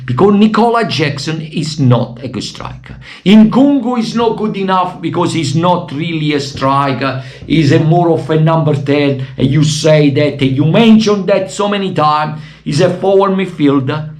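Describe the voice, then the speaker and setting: A man from Italy with an Italian accent, giving emotional, impassioned commentary as a football fan vlogger and bursting into shouted appeals, his voice wearing thin. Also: a little high, normal speed, few pauses. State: losing his voice.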